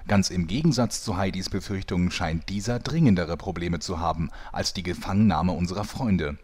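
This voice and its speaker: A man's voice: low voice, brisk, a little mellow. A man delivering scripted narration as the narrator of a parody audio drama.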